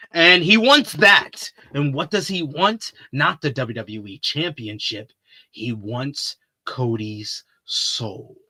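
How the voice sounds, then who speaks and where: A man hosting a wrestling preview talk show, commenting with animated, theatrical emphasis and drawn-out words. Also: fairly high pitch.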